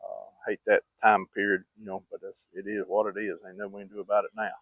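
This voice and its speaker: A man's voice: fairly low pitch, flat delivery, quick pace, creaky, dry recording. A man with a Southern US accent tells personal stories in a casual, folksy, rambling, plain-spoken way. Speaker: vlogger. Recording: muffled, phone-like narrow-band audio.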